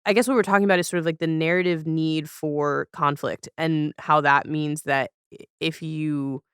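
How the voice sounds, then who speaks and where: A woman's voice: deep voice, steady tone, moderate pace, fairly bright tone, smooth, no echo, studio quality. A woman hosting a casual interview, phrasing things tentatively and rewording as she goes. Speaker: podcast host, interviewer.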